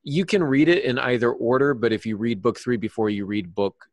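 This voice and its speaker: A man's voice: medium pitch, animated, a little fast, dry recording. A man co-hosting a podcast, chatting casually in a rambling, self-deprecating way. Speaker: podcast co-host and author. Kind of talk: casual chat.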